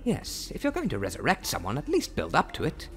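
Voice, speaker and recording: Man playing a measured, bookish club leader. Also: a little high, huge pitch swings, faint music behind. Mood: critical. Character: mild-mannered, bookish male book club leader. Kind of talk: cartoon parody voice acting.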